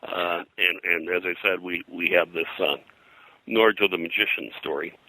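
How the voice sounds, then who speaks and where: A man being interviewed as a historian and publisher, explaining history in a halting, detail-heavy, conversational way. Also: sits low, steady tone, sharp tone, a little hiss or hum, poor audio.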